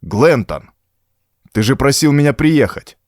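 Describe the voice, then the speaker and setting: A man's voice: mid-range voice, lively intonation, measured pace, no room sound, studio quality, pitched above the performer's own voice. A man voicing a tough, blunt working-man hero under strain in an audiobook, speaking urgently. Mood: hasty. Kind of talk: audiobook character acting.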